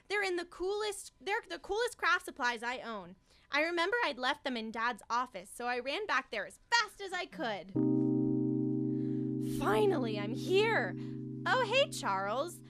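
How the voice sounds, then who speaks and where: Young woman as an excitable high-pitched schoolgirl. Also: exaggerated voice. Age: young adult. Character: eager, chirpy, naive schoolgirl narrating her adventures. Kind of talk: student radio comedy serial acting. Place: small radio studio with cast around microphones.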